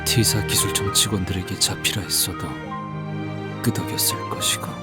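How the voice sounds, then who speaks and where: Actor as a very deep-voiced, desolate poetic man. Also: steady tone, normal speed, over loud music, natural voice. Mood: resigned. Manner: archaic, literary, solemn phrasing. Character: weary, desolate, poetic man of archaic speech. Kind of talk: dramatic game voice acting.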